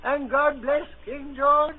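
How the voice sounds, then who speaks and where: An actor playing a sinister, soft-spoken blind old mariner in a radio drama, an unctuous beggar with cruelty underneath. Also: male voice, very high voice, steady tone, slow pace, narrow, tinny audio. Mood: wheedling. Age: older. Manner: unctuous begging turning to menace. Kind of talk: 1930s radio acting. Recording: thin, noisy old radio recording.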